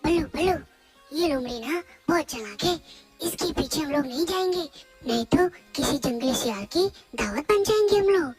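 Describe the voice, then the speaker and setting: Woman as a high-voiced protective cartoon monkey. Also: lively intonation, normal speed, loud music behind the voice. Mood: warning. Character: protective young monkey friend, cautious and kindly. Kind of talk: children's cartoon story acting.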